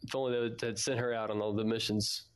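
A man's voice: lowish voice, level tone, fast talker, clean tone. A man co-hosting a fan podcast in casual, informal chat. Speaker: podcast co-host.

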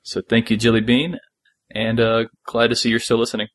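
A man's voice: medium pitch, completely flat delivery, brisk. A man co-hosting a podcast in casual chat, talking in an informal, rambling, jokey way. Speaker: podcast co-host. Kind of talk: casual chat about an audio drama.

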